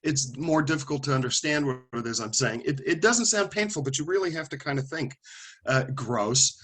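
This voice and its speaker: A man's voice: mid-range voice, normal speed, a bit bright. Man lecturing a class, thinking aloud. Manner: conversational, thinking aloud with restarts and asides. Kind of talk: classroom lecture with student questions.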